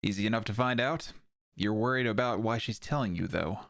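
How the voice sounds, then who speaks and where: A man's voice: fairly low pitch, brisk, vocal fry, fairly bright tone. A man playing a blunt, casual medic. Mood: wry, concerned. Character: blunt, perceptive medic, a former lover. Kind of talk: audio drama acting.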